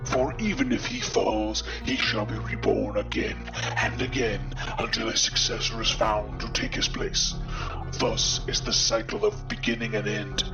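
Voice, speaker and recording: A man playing an aged, imperious master of a dragon clan in an audio drama, pompous and ceremonial, with a gravelly voice. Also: lowish voice, lo-fi sound, heavily acted.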